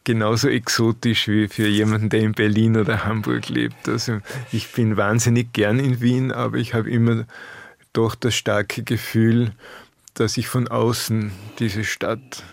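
A middle-aged Austrian man with a low, gravelly voice speaks in a dry, laconic way, chuckling now and then. The sound is close and dry, with no room around it. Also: little pitch movement, measured pace, dry recording. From Austrian Alps. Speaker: author guest. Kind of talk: relaxed interview talk.

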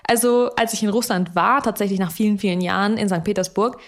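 A woman's voice: mid-range voice, fairly even intonation, fast talker. A young woman co-hosting a podcast in casual chat, raised speaking Russian at home, talking freely and laughing often. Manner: chatty, laughs often, fillers. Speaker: podcast co-host.

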